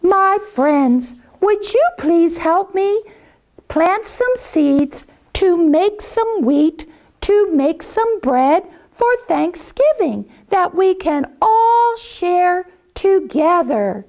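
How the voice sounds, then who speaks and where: A woman's voice: very high voice, very animated, extremely slow, a little mellow, voice pushed up, heavily acted. A woman voicing a kind, hardworking mother hen in a children's story, with a sweet, coaxing manner.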